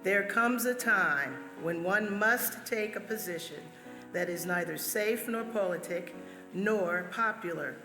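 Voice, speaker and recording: A woman reads quotations aloud at a middle pitch. Her voice rings in a reverberant hall with soft music underneath. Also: a little slow. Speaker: church member reading.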